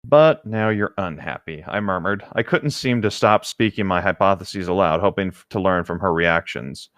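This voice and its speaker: Male co-host, deep voice, dry close sound. Reads fiction aloud and drops in wry, easygoing asides. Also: moderate pace, fairly bright tone, dry recording. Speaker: co-host reading a novel aloud with commentary. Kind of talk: reading aloud with casual joking commentary.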